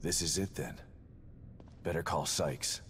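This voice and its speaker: A man's voice: a bass voice, a little slow, noisy background. An actor playing a weary, grim, guarded American detective father in a video game, brooding and skeptical. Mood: wary, brooding. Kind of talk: video game cutscene acting.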